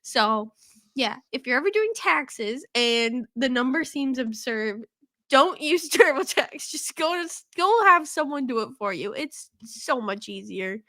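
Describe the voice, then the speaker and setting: A woman's voice: sits high, expressive, a little slow, sharp tone, dry recording. A woman co-hosting a podcast, congested from a cold, rambling with lots of "like" and frequent laughs. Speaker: podcast co-host. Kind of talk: casual chat. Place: podcast studio.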